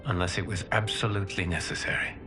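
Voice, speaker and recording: A man playing a solemn, wise fire god in a dramatic video game, speaking formally and gravely. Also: low voice, steady tone, fast, close to an everyday voice. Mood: solemn, grateful. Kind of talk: dramatic cinematic game acting. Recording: loud cinematic music underneath.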